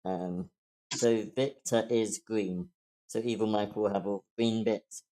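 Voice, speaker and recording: Mellow, casual mid-pitched explainer. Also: steady tone, unhurried. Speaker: table read organizer reading out parts. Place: online voice meeting.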